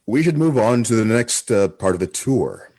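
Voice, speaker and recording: Husky, animated male station officer, acted. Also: lowish voice, normal speed. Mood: formal, diplomatic. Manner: formal, placating. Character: dutiful, diplomatic male officer guiding an inspection. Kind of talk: comedy sci-fi radio acting.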